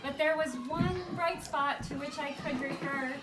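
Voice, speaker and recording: A woman reading rhymed, scripted narration to a live audience as the presenter of a puppet show, in a storybook manner with deliberate pauses. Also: high voice. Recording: distant mic, echoey room, noisy live recording.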